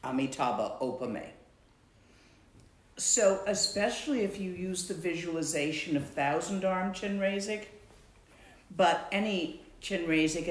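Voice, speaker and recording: A woman teaching meditation in a slow, measured voice. Her words carry a clear room echo around them. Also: low-pitched. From Latvian background. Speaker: Dzogchen teacher. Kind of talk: answering a student's question.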